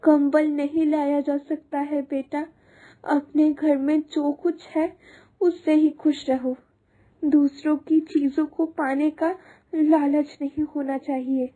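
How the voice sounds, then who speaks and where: A woman's voice: sits high, flat delivery, takes it slowly, narrow, tinny audio. A woman voicing a poor, humble village mother and maid in a cartoon story, with a plaintive, hesitant manner. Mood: sorrowful. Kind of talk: Hindi cartoon story dubbing.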